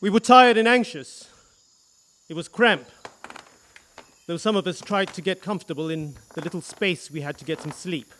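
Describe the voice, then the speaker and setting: An actor playing a grave, earnest widowed engineer, giving solemn, deliberate testimony in a courtroom drama. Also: male voice, high-pitched, a bit bright. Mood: grave, haunted. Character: grave, earnest male electrical engineer, widowed war witness.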